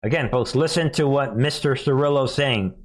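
A man's voice: mid-range voice, moderate pace. An American man hosting live political commentary, speaking emphatically and derisively and repeating phrases for effect. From United States. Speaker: news commentary show host.

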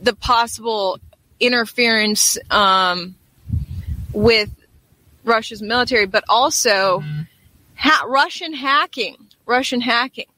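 A woman's voice: a little high, slow, long pauses, sharp tone, no echo, poor audio. A woman hosting a casual live chat show in an upbeat, informal way. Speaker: talk show host.

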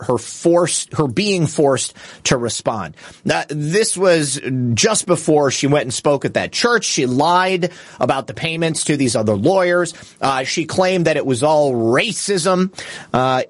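A man's voice: on the high side, dry recording. A man hosting a political livestream, giving opinionated news commentary with casual asides in a scornful, indignant, crudely humorous manner.

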